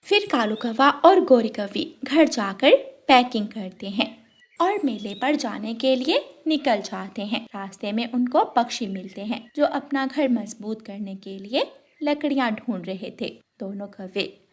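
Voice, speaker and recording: A woman's voice: a little high, expressive, measured pace. A woman reading scripted narration for a children's story.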